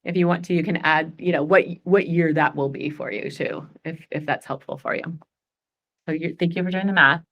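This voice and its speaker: A woman's voice: deep voice, fairly even intonation, a little fast, muffled recording. A woman in her forties leading a goal-setting workshop, chatty and upbeat, laughing often. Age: 40s. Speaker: workshop facilitator.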